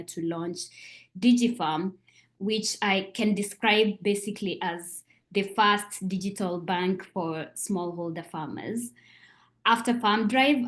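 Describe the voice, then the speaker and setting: Woman from Kenya, thirties, online panel moderator, measured speech, video call mic. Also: mid-range voice.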